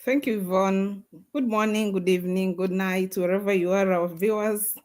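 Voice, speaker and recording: A woman's voice: medium pitch, fairly even intonation, normal speed, darkish tone. A woman guest on a video call, speaking earnestly and emphatically, like giving testimony. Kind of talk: motivational testimony.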